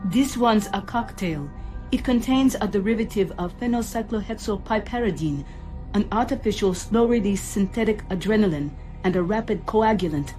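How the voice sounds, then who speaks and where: A woman playing a calm, resolute ship's artificial intelligence in a motion comic, speaking in a precise, clinical manner. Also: mid-range voice, normal speed, faint music behind, lightly acted, low-quality recording. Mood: clinical, explaining. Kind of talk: scripted motion comic acting.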